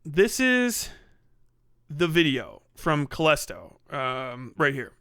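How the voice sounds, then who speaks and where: A man's voice: on the high side, big pitch swings, slow pace, a bit bright, clean tone, dry recording, clean studio sound. A man hosting a casual livestream reaction, talking bluntly and swearing freely. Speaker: livestream host.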